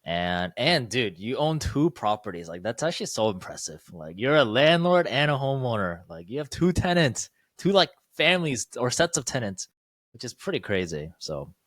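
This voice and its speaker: A man's voice: mid-range voice, big pitch swings, moderate pace, no room sound, clean studio sound. A young man who moved from Korea to the Chicago suburbs as a child, co-hosting a podcast in a friendly, encouraging manner. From Korea, raised in Chicago suburbs from age nine. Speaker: podcast co-host. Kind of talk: casual chat.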